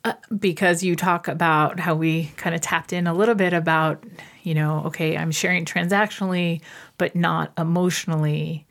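A woman with a low, gravelly voice leads an intuitive reading in casual conversation. She listens with steady affirmations and explains her points patiently. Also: normal speed. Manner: warm encouraging listener, frequent mm-hmms. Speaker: medical intuitive host.

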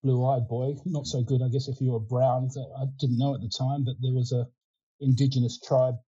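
Dark husky Australian man in his sixties. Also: mid-range voice, moderate pace, highs cut off. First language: Ukrainian. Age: 60s. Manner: relaxed and rambling, many fillers and restarts. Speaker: guest, winemaking consultant. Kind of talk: casual interview chat.

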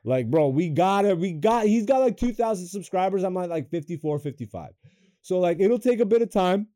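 A young man hosting a comedy podcast in a studio, chatting loosely with lots of swearing, swinging between loud hype and low mumbles. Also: expressive, fairly quick, no echo. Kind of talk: casual podcast chat.

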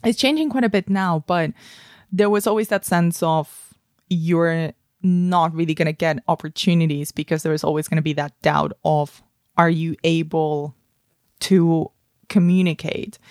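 A woman's voice: low-pitched, steady tone, a little slow, a little mellow, no room sound. Thoughtful Spanish-raised woman, dry close sound. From Spain. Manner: thoughtful, many restarts and fillers like "kind of". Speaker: guest, film industry professional. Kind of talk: podcast interview, reflective storytelling.

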